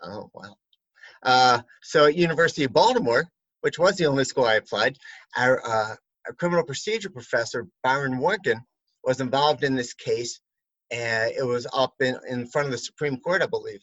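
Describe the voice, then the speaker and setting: A man who works as an attorney, asking a colleague questions in a relaxed, rambling way with many fillers. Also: mid-range voice, moderate pace, dry recording, lo-fi sound. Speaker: attorney and interviewer. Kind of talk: conversational chat.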